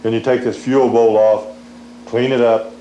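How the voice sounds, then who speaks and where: A man's voice: mid-range voice, level tone, moderate pace, mellow, lo-fi sound. A man giving a plain, folksy, hands-on instructional demonstration as a maintenance worker for a training film. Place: generator room in a pump house. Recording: echoey room, faint hum, 1980s training film.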